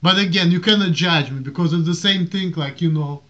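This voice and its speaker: A man's voice: on the high side, a little fast. A man in his thirties with a strong Russian accent, ranting casually to a webcam in a small room and waving his arms as he talks. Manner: animated, waves his arms, rambling restarts. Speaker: vlogger. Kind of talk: casual rant to camera. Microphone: webcam at desk. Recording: dull lo-fi webcam audio.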